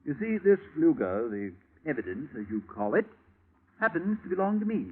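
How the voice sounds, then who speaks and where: A man's voice: a little high, sing-song, normal speed, slightly rough. A man acting a suave, smug, corrupt British police chief in a 1940s radio drama, mocking and elaborately polite. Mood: gloating, mocking. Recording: tinny, narrow-band vintage radio recording.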